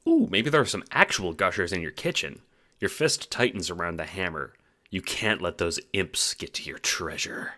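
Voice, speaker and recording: A man reading second-person audio drama narration in an animated storytelling manner, with casual swearing. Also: deep voice, normal speed.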